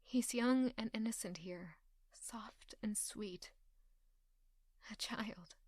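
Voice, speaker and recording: A woman's voice: a little high, takes it slowly, darkish tone, no echo. A woman acting a hurt, stubborn young woman in an audio drama, with an intense, emotional delivery. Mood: hushed, sorrowful.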